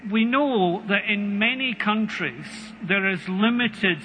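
Scottish man, panel speaker, halting delivery, long hall reverb, faint background noise. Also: high voice, highs cut off. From Scotland. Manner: halting, many ums and uhs, dry humor. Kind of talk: conference panel remarks.

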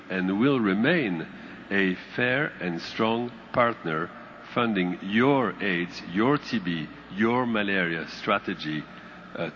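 A man giving formal, measured remarks on a conference panel. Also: deep voice, a bit bright. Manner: measured, formal, occasional ums. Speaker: panel speaker. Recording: dull muffled sound, faint noise.

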